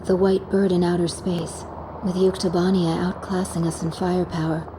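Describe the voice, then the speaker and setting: A woman playing a serious, reserved young fighter pilot in a game, speaking in a measured, grave way. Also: on the low side, level tone, background noise, close to an everyday voice.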